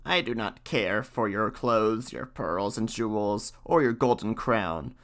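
A man doing a slow, low-voiced fairy-tale frog. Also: a bit bright. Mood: bargaining. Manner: slow and measured. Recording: faint guitar music behind.

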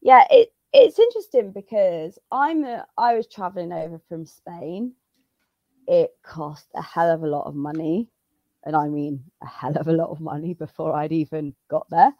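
A woman, a jiu-jitsu competitor, chatting casually as an interview guest with many fillers and restarts; her voice is a little hoarse from a cold. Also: sits low, animated, moderate pace, dark tone, no room sound. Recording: dull, dry lo-fi sound.

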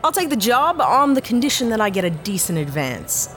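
Woman as wry, animated space pilot for hire. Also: a little high, a little fast. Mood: wry, bargaining. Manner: sarcastic, sceptical, hesitant asides. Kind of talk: sci-fi audio drama acting.

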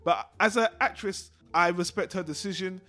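A man's voice: sits high, moderate pace, sharp tone. A man hosting a casual film discussion podcast, talking in a rambling, digressive and opinionated way. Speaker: podcast host.

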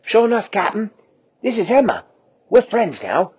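A man voicing an excitable, brave young sailor from a children's cartoon, with high excited exclamations. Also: a little fast, slightly rough, heavily acted. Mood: cheerful. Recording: lo-fi, dull.

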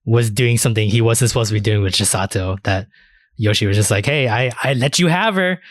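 A man's voice: lowish voice, fairly quick, no echo, clean studio sound. A man hosting a casual, sweary podcast chat. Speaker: podcast host.

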